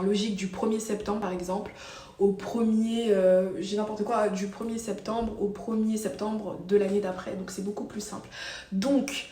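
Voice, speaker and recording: A woman's voice: mid-range voice, warm tone. A woman talking casually to her channel, telling her story with lots of fillers and restarts. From Ivory Coast. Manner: chatty, explains with many fillers and restarts. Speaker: vlogger. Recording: echoey room, voice a bit far from the mic.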